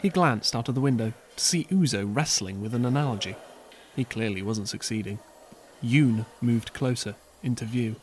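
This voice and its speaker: A man narrating an audio drama in an expressive, lively storytelling style. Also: mid-range voice, normal speed, a little hiss or hum.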